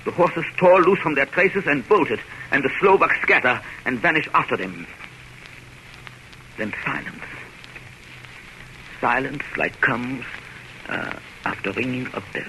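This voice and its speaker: A man's voice: medium pitch, lively intonation, a little slow, long pauses, noisy background. A man playing an older vampire hunter, narrating with declamatory urgency in 1930s radio drama style. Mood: hushed. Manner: breathless, declamatory storytelling. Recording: old radio recording, loud music underneath.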